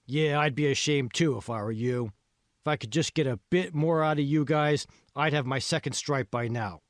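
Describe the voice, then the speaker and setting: A man's voice: mid-range voice, moderate pace, no room sound, clean studio sound, voice pushed up, natural voice. A man voicing a bossy, irritable foreman in an audiobook, coarse and impatient, haranguing his crew. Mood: grumbling, scornful. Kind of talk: audiobook character dialogue.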